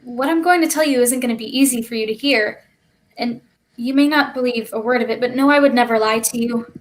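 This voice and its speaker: Woman doing scared gloomy kid in a play reading, hesitant, kinda high voice. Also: brisk, natural voice, low-quality recording. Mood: grave. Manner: grave, hesitant. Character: stunned, gloomy child named Finn, fearful and reluctant.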